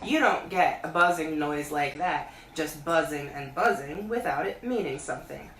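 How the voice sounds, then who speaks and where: A woman voicing a simple, earnest male storybook bear who loves honey and reasons slowly and ponderously. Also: low voice, a bit bright. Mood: pondering. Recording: echoey room, distant mic, faint hiss.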